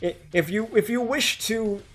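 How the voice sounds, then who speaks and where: A man's voice: high voice, moderate pace, a bit bright. A man chatting as a stream guest, laid-back and hesitant, often stammering and restarting. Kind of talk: casual stream chat.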